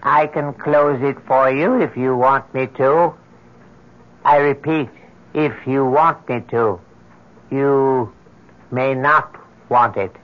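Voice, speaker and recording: An actor in an old radio horror drama playing a small, eerily courteous supernatural tempter, measured and insinuating. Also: male voice, mid-range voice, highs cut off, natural voice. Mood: sly, insinuating.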